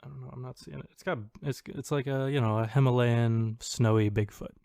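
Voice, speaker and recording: A man co-hosting a podcast in casual chat, halting and tentative as he thinks aloud. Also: medium pitch, fairly even intonation, creaky, darkish tone, smooth, dry recording, clean studio sound. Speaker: podcast co-host.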